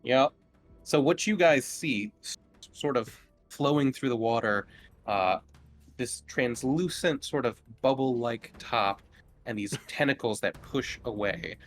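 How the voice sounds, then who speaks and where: A male game master talks casually through a tabletop horror session. He improvises his descriptions haltingly, in a medium-pitched voice. Music plays faintly behind him.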